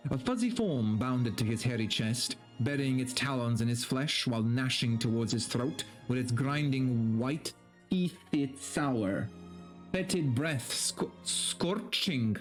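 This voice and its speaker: A man's voice: mid-range voice, lively intonation, unhurried, soft background music. A man streaming a dramatic story reading, with theatrical narration and casual, mock-exasperated asides.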